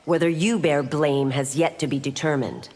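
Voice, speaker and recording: A woman's voice: deep voice, steady tone, normal speed, heavy background noise. A woman playing a stern, dutiful city constable in a fighting game, authoritative and formal. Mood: stern, accusing. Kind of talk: fighting game dialogue acting. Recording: long reverb, loud music.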